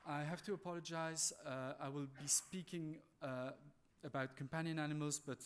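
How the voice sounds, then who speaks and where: A man with a French accent giving a conference presentation in a halting, polite, apologetic way. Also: a little high, fairly even intonation, smooth. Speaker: conference speaker, animal welfare manager.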